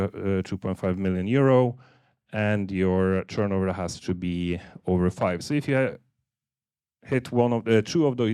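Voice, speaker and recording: A man in his fifties from Norway, Norwegian as first language, giving a halting business presentation with many fillers. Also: low voice, darkish tone, clean tone, dry recording, clean studio sound. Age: 50s. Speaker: seminar speaker.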